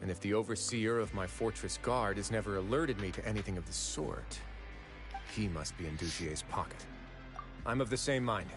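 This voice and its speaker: Man voicing a calm, low-voiced noble warden. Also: close to an everyday voice. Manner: calm, measured, authoritative. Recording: game audio with music behind.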